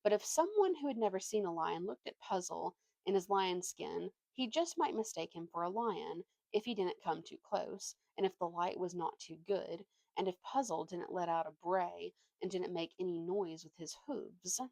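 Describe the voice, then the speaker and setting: A woman reading a story aloud with expressive narration and an informal manner, now and then stumbling over a word. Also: on the low side, moderate pace, creaky, hardly any pauses, no room sound. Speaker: storybook reader.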